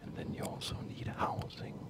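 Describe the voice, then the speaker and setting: An ASMR host whispering an unhurried, hesitant commentary while playing a strategy game. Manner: hesitant, unhurried, softly rambling.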